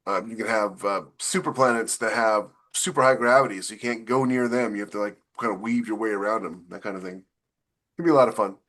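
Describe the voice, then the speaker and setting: A man with a fairly low voice talks as a co-host on a casual panel podcast. He explains things at length in a loose, rambling way. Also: fairly quick, fairly bright tone. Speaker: podcast co-host, science fiction author.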